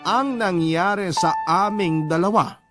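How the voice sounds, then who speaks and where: A man reading a scripted first-person love story as a radio storyteller, with sentimental, measured phrasing. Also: high-pitched. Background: clear background music.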